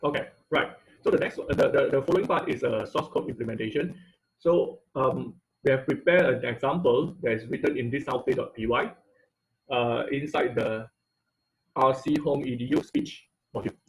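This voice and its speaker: A man's voice: mid-range voice, normal speed, low-quality recording. A man teaching an online robotics class, explaining code step by step with frequent fillers and "okay?" checks. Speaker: robotics instructor. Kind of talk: online class lecture with screen share.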